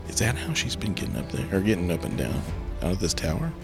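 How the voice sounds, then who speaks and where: A man's voice: low-pitched, huge pitch swings, fast, vocal fry, over music, exaggerated voice. A man playing a laid-back young prince in a comic storybook tale, talking in casual slang. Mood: curious.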